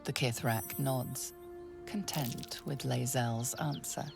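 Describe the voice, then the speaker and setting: A woman playing the ominous narrator of a fantasy video game, hushed and portentous. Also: extremely low pitch, fairly even intonation, slow, gravelly, exaggerated voice. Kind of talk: second-person game narration. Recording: music behind the voice.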